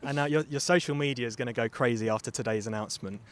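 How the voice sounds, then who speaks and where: A man's voice: mid-range voice, racing, a little hiss or hum. A man working as a radio interviewer, putting questions to guests. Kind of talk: upbeat celebrity interview questions.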